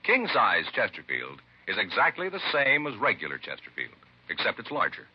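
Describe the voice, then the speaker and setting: A male announcer delivers an emphatic 1950s radio sales pitch. His intonation swings widely and his voice has a slight rasp. It comes across dry and muffled, like an old broadcast. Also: huge pitch swings, moderate pace, no echo. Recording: dull vintage radio recording.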